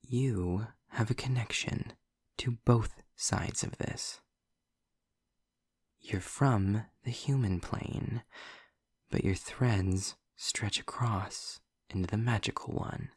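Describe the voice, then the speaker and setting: A man playing a snarky, sardonic demon in an audio roleplay, speaking deliberately in a gravelly, husky voice close to the mic. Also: no echo, exaggerated voice. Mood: measured, intent. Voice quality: gravelly, husky low voice with breathy edges. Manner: sarcastic, deliberate, drawn-out phrasing. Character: snarky, sardonic male demon trapped in hell. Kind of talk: scripted audio roleplay addressed to the listener.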